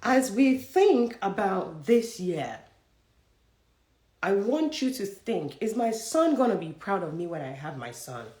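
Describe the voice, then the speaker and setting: A young Nigerian woman with a Nigerian accent, giving a forceful, preachy motivational career talk that challenges her listeners directly. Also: mid-range voice, very animated, unhurried, roomy sound. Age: young adult. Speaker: career coach.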